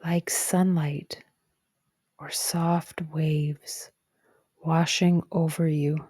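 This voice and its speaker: Slow, soft woman guiding meditation. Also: sits low, no room sound, clean studio sound. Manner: gentle, calm, soothing, soft breathy phrase endings. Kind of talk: guided meditation narration.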